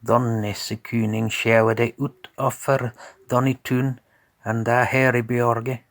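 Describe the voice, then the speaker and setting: A man narrating a scripted tale as a storyteller in a measured, deliberate way. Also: mid-range voice, dry recording.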